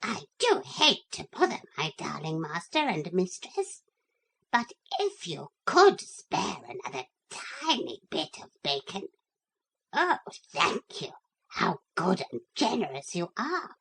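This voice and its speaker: A woman voicing a small, fawning female dog in a softly shrill, gushing voice. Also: huge pitch swings, takes it slowly, creaky, husky, heavily acted, rough recording.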